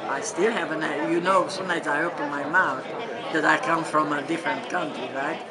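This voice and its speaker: A woman's voice: low voice, steady tone, moderate pace, over a noisy crowd. An elderly woman from Transylvania, a native Hungarian speaker with a Hungarian accent, recalling her life in a conversational way and addressing her listeners directly. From Transylvania, Romania. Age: older, 70s or 80s. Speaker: Holocaust survivor giving testimony. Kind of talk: spoken personal recollection to an audience. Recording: large room with audience murmur.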